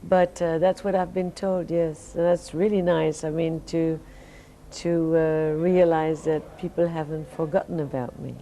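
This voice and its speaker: A Belgian woman with a French accent speaks in a low, mellow voice, her answers halting and full of restarts. She sounds sleepy, as though fighting off tiredness, and a faint hiss sits under the recording. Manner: relaxed, halting, many restarts and fillers. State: sleepy, fighting off jet lag. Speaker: singer, interview guest. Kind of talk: casual interview answers.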